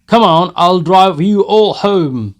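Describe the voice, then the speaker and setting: A man's voice: sits high, lively intonation, takes it slowly, voice pushed up. A man voicing a drunken, boastful young peasant who bellows at his friends. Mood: boastful shouting. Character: drunken young male peasant, loud brute.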